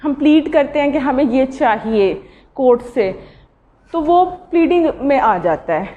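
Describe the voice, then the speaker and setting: Female law lecturer, Pakistani accent, high voice, halting delivery with English terms mixed in, dull lo-fi recording. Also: expressive, warm tone. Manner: halting, mixes Urdu and English legal terms. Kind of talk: informal lecture on evidence law. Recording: dull lo-fi audio.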